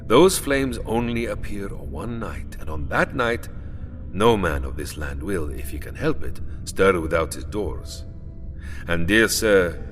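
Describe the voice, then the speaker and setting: Casting: old vampire nobleman, low voice, courtly menace, grandiose and sweeping delivery, gothic audio drama. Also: animated, a bit bright. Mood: scornful. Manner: formal, grandiose, deliberate phrasing. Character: Count Dracula, aristocratic old male vampire, courtly and menacing. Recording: long hall reverb, music underneath. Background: music.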